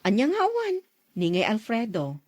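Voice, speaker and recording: A woman's voice: a little high, wildly swooping pitch, moderate pace, a little mellow, no echo, studio quality. A woman playing a good-natured, chatty married school coworker in a Philippine radio drama. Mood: persuasive.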